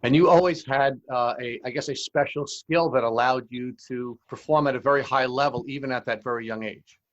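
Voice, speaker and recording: A man hosting a podcast, reading a formal introduction and then asking interview questions in a measured way. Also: mid-range voice, fairly even intonation, no echo, dull audio. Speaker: podcast host.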